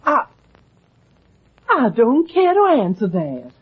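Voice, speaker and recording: A woman's voice: fairly high pitch, wildly swooping pitch, slow pace, slightly rough, exaggerated voice. An actress playing a coy, sugary, evasive Southern woman with an American Southern accent in a vintage radio drama. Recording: muffled vintage radio recording.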